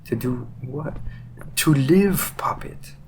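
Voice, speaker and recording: A man acts out a world-weary assassin from a roleplay audio drama, cocky yet loyal. The delivery is slow and teasing, with words stretched out for wry effect. The voice sits at a medium pitch over faint background noise. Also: expressive, darkish tone. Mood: playful, insistent.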